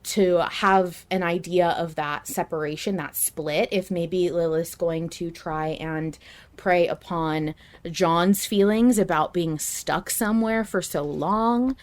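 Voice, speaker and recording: Animated, emphatic woman podcast co-host. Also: lowish voice, slow pace. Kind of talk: casual fan discussion of a fiction podcast.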